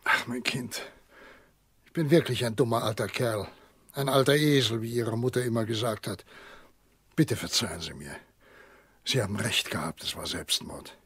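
An older actor playing a kindly, remorseful old family doctor in a radio drama, fatherly, gentle and rough-voiced. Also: medium pitch, normal speed, pauses often, no room sound. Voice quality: very husky, rough. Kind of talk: German radio drama acting.

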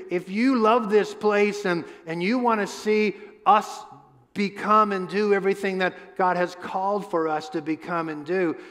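A man in his fifties preaching a conversational sermon on a church stage through a headset mic, asking the crowd questions and often restarting his words. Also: high voice. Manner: animated, gestures a lot while pacing the stage. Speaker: pastor. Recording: long hall reverb.

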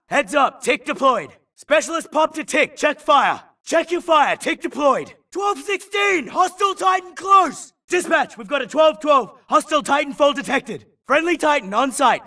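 A man's voice: very high-pitched, vocal fry, pushed and bright, recorded from a distance, heavily acted. A man playing an urgent, tough, disciplined combat soldier in a video game, barking clipped military battle calls. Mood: alert, commanding. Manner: clipped, urgent radio-call phrasing. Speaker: game voice actor.

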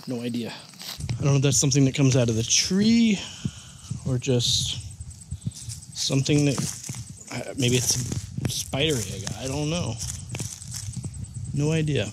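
A man's voice: medium pitch, unhurried, long pauses. A man vlogging casually while walking through the woods, thinking aloud and unsure about what he finds. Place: outdoors in the woods. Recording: noisy outdoor recording.

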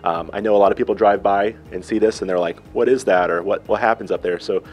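A man in his thirties hosting a local TV segment with an upbeat, brisk presenter delivery. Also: on the low side, fairly even intonation, a little mellow. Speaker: TV host. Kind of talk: local TV host interview. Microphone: handheld mic.

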